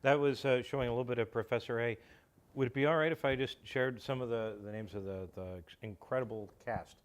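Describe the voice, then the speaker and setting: A man talking casually on a talk show, halting but enthusiastic. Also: medium pitch.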